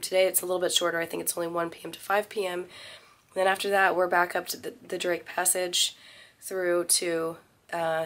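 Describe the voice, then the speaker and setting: A woman in her forties, hoarse from losing her voice, rambling casually to a phone held at arm's length in a small cruise ship cabin. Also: a bit bright. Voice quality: low, gravelly. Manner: rambling, self-correcting. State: hoarse, recovering from lost voice. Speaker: travel vlogger. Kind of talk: casual vlog chat to camera.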